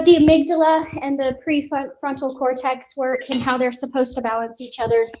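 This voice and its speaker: A woman with a high voice takes part in a casual group discussion. She explains things in an articulate, measured way. Her audio carries a clear hiss of background noise. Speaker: discussion participant.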